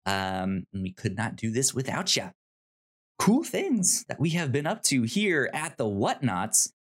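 Podcast host, male, medium pitch, very animated delivery, casual and upbeat. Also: a little slow, husky. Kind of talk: casual podcast chat and housekeeping plugs.

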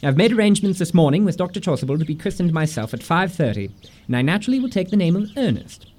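An actor playing an exasperated, earnest young English gentleman in a comic radio play, speaking in a huffy, emphatic, mannered way. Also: high-pitched, big pitch swings, quick pace. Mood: stubborn, argumentative.

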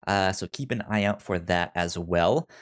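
A man's voice: low-pitched, moderate pace. A man, probably in his thirties, hosting a casual podcast chat, who stammers and repeats syllables as he talks. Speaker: podcast host.

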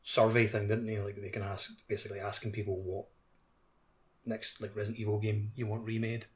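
A man's voice: on the low side, level tone, brisk, slightly rough. A man chatting casually on a gaming podcast.

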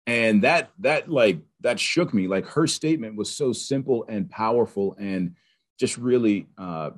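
Low-voiced, animated man telling his story. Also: a little slow. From Pittsburgh. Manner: animated, earnest, frequent fillers and restarts. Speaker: podcast guest. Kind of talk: casual interview storytelling.

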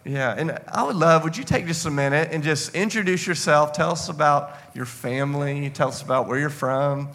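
A man's voice: fairly high pitch, moderate pace, hardly any pauses, a bit bright. A man speaking casually and earnestly to a congregation as a pastor and host, effusive and often circling back to repeat himself. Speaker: church pastor hosting an interview. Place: church gathering on stage.

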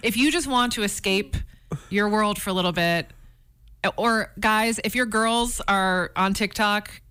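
A woman's voice: medium pitch, measured pace, bright, forceful tone, smooth. A woman co-hosting a radio show, chatting casually and playfully in a breezy, exclamatory, colloquial way. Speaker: regular radio co-host. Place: dry radio studio.

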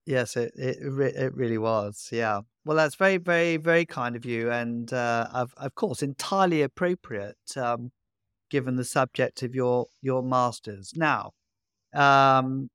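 Older British male host with a mid-range voice and dry sound, stammering gently and chuckling. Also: mid-range voice, clean tone, dry recording. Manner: halting, repeats words, chuckles. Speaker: podcast host. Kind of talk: conversational interview.

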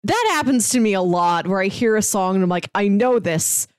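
A woman's voice: mid-range voice, animated, moderate pace, no room sound, clean studio sound. A woman talking casually as a podcast co-host.

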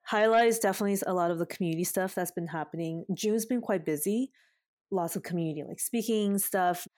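A woman's voice: fairly low pitch, a little fast, a little mellow, no room sound, studio quality. A woman who organizes a campaign and her community, talking earnestly and thoughtfully in a casual chat.

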